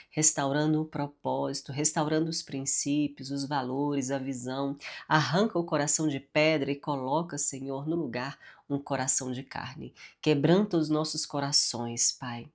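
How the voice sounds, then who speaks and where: A woman with a congested, nasal voice teaching the Bible and praying, often adding "né?" and "amém?" as she speaks, with a Brazilian accent. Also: very deep voice. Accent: Brazilian Portuguese. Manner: warm, frequent "né?" and "amém?" tags. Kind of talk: devotional Bible teaching with prayer.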